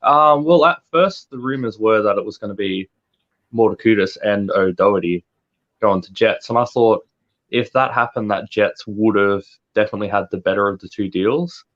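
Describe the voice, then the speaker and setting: Aussie guy guesting on a footy pod, low voice, muffled lo-fi sound. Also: moderate pace, a bit bright. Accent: Australian. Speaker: podcast guest. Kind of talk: casual sports chat.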